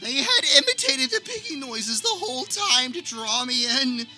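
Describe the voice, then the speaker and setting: A woman's voice: high voice, very animated, normal speed, over music. A woman playing an overwrought, haunted man telling his childhood trauma in a comedic radio play, drawn out and theatrical. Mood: grave, haunted.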